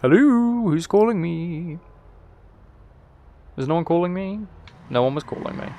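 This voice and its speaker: Playful man with lively pitch and creaky edge. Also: unhurried, a little hiss or hum. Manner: playful, talks and sings to himself. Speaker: game streamer. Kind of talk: casual gameplay commentary.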